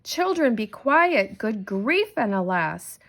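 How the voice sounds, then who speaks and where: A stern veteran schoolmistress from a children's storybook, as a woman reader puts her on. She sounds exasperated and scolding. Her pitch is very animated and her delivery slow and emphatic. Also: heavily acted.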